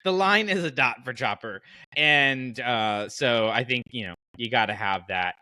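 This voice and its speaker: Man, podcast host, bright forceful voice, dry close sound, colloquial, animated fan chat. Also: medium pitch, a little slow, dry recording. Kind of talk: casual fan podcast discussion.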